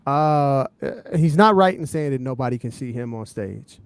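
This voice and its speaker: A man on a podcast panel talking casually about hip hop, explaining his points in a measured way and often checking that the listener follows. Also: medium pitch, expressive, clear, resonant tone, no room sound.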